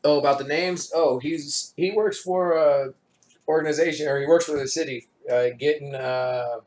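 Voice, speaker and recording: A man's voice: on the high side, fairly even intonation, normal speed, a little mellow, roomy sound, rough recording. A man from Stockton, California, hosting a casual chat, slangy and laid-back, laughing often. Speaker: podcast host.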